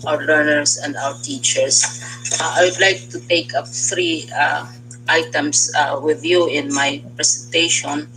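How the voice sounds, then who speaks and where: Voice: medium pitch, fairly bright tone, low-quality recording. A cabinet official with a Filipino accent gives a semi-formal briefing, with courteous address and hesitant phrasing.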